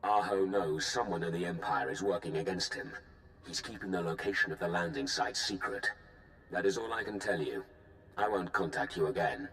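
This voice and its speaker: An actor playing a secretive male Imperial informant in a video game, speaking in a measured, formal way. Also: low voice, fairly bright tone, echoey room, a little hiss or hum, poor audio. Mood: guarded. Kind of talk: game voice acting.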